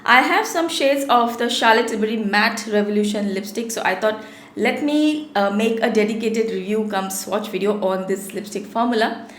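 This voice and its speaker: A woman's voice: medium pitch, moderate pace, a bit bright, reverberant. A woman in her thirties with a sore throat, chatting casually to camera as she reviews products in a small home studio. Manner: chatty, repeats words for emphasis. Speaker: beauty vlogger.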